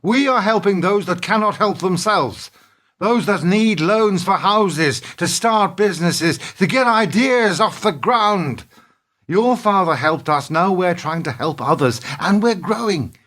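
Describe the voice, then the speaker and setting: A man's voice: high-pitched, animated, a little slow, fairly bright tone. A man acting in a radio play as an idealistic, indignant businessman, morally earnest and defiant, with an emphatic speechifying delivery. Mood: defiant, passionate.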